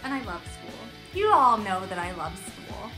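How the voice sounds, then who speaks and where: A young woman reading and discussing a picture book for small children, in an expressive, questioning teacher's manner. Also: mid-range voice, moderate pace. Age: young adult. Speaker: children's story-time teacher. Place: room at home, indoors. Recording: roomy echo, music underneath.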